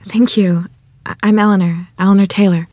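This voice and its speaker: A woman's voice: mid-range voice, steady tone, brisk, mellow, no room sound, lightly acted. A woman playing a modest, secretive rural wife in a dramatic script reading. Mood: modest, polite. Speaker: actress at a screenplay table read.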